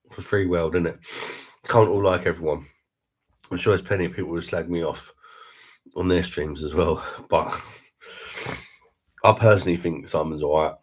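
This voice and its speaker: A middle-aged man with a very deep voice, hosting a casual beer livestream, reading out viewer comments and chatting in a laid-back, laughing way. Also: fairly even intonation, moderate pace, long pauses, slightly rough. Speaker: beer review livestream host.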